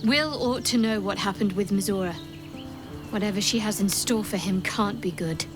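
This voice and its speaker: An actress playing a composed, quietly defiant adventurer in a video game, dry and matter-of-fact, with music behind her. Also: medium pitch, normal speed, lightly acted. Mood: guarded. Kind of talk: video game character acting.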